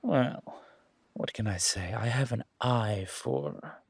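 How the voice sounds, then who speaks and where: Man playing a purring, breathy, flamboyant flirt. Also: fairly low pitch, no echo, heavily acted. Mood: teasing, seductive. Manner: purring, theatrical, teasing, drawn-out words. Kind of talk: roleplay audio drama.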